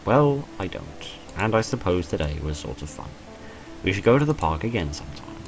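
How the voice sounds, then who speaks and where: A man's voice: low voice, sing-song, normal speed, over music, low-quality recording. A man voicing a blunt, questioning but forgiving young woman in a visual novel. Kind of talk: visual novel character reading.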